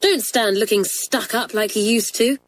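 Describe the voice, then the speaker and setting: A woman voicing a brisk, teasing schoolgirl in a storybook, blunt and chivvying. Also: fairly high pitch, voice pushed up, close to an everyday voice.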